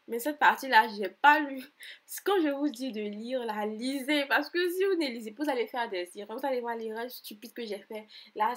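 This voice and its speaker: A young woman from Côte d'Ivoire with an Ivorian French accent, talking through an online form in a chatty, repetitive voice-over tutorial. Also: fairly high pitch. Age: 20s. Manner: chatty, repeats herself, grows exasperated and emphatic. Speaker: tutorial vlogger. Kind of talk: screen-recorded voice-over tutorial.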